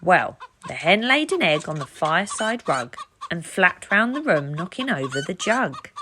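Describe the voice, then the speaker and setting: A woman storyteller reading a rhyming children's picture book aloud with expressive narration. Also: fairly low pitch, a little slow, background noise.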